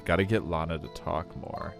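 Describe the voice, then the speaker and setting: A man streaming a video game with casual commentary. His voice is low, and game music plays behind him. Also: steady tone, moderate pace, vocal fry. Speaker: gameplay streamer.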